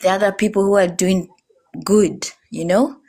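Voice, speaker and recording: A woman's voice: medium pitch, fairly even intonation, normal speed, warm tone. A young Kenyan woman, a guest, giving earnest advice and repeating her key points. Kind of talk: interview testimonial.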